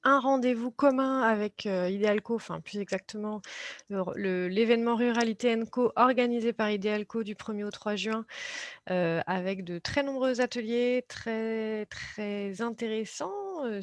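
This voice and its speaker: A woman's voice: medium pitch, vocal fry, no echo. Woman hosting, close dry sound. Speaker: conference host. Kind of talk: semi-scripted webinar moderation and announcements.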